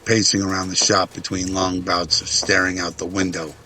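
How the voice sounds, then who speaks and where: A man's voice: sits low, level tone, normal speed, bright, forceful tone. A man reading scripted dramatic narration as the narrator of a story.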